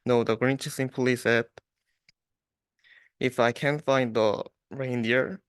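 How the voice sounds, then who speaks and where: A young adult man, a student, reading a rhyming storybook aloud with halting restarts. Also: medium pitch, steady tone, clean tone, no room sound.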